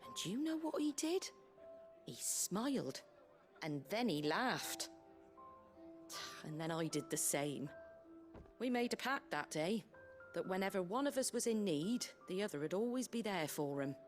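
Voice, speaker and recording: A woman playing a brisk, plain-spoken British innkeeper in a video game, earthy, colloquial and wry, tough but kindly. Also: medium pitch, big pitch swings. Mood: reflective, warm. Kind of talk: video game character dialogue. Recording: game music behind the voice.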